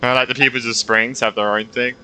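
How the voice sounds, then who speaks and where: A man's voice: mid-range voice, level tone, fairly quick, noisy background. A young man giving casual, reactive gaming commentary and reading game dialogue aloud in an informal way. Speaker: gaming streamer.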